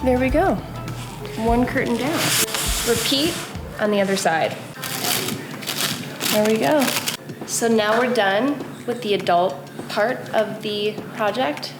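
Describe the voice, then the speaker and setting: A woman's voice: a little high, slow pace, pauses often. A young woman giving a casual, chatty craft tutorial, instructive with playful asides. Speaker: host, mother of a toddler. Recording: roomy echo, loud music behind. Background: music.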